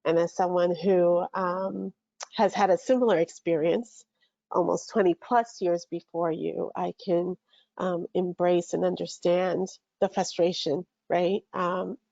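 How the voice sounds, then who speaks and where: A woman in her forties moderating a youth panel in a gentle, measured, supportive way, on a video call. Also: on the low side, fairly even intonation, vocal fry, no room sound. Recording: muffled lo-fi online audio.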